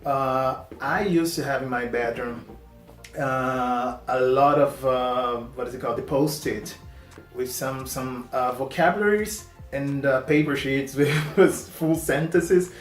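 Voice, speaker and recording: Male host, native Portuguese speaker, accented English, mid-pitched, loose halting delivery, echoey room with soft music underneath. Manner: halting, many fillers and restarts. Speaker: host reacting to a video. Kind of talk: casual reaction commentary. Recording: roomy echo, faint music.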